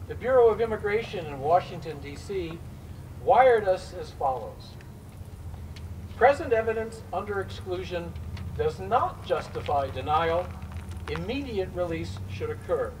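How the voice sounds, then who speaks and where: A man playing a formal early 1900s immigration inspector in a staged reading, speaking in a measured, deliberate way as he delivers official rulings. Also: sits high, very animated. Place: live staged reading before an event audience. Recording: distant mic, faint noise, live event.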